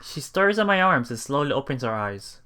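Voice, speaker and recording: A man's voice: mid-range voice, sing-song, normal speed, sharp tone, clean tone. A man doing a let's play, reading a visual novel aloud as narrator and adding casual remarks. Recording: game music behind the voice.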